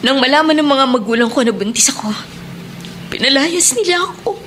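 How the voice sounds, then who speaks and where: A woman's voice: high voice, expressive, brisk, fairly bright tone, a little hiss or hum. An actress playing a wounded, bitter abandoned mother in a Filipino radio drama, emotional and reproachful. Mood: pained. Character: wounded, bitter woman, abandoned mother seeking her child.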